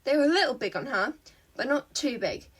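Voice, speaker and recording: A woman narrates a piece of fan fiction with expression. Her voice is high and slightly husky, with lively movement in pitch as she reads the scripted story. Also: fairly quick, distant mic.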